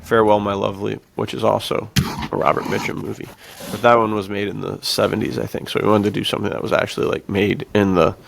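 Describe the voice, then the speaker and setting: A man's voice: on the low side, little pitch movement, fast talker, gravelly, few pauses, a bit bright, slight background noise. A man co-hosting a podcast, talking casually in a laid-back, jokey way with informal slang. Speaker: podcast co-host. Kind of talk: casual film discussion.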